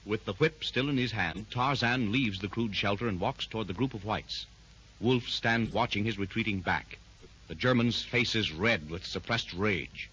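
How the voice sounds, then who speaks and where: Dramatic narrator from an old radio serial. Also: male voice, on the low side, normal speed, a bit bright, breathy edge. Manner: dramatic, emphatic storytelling. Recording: dull old radio recording.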